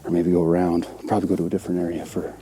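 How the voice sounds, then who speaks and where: A man hunting outdoors, talking through the plan on camera in a halting, thinking-aloud way. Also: sits low, monotone, noisy background. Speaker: hunter.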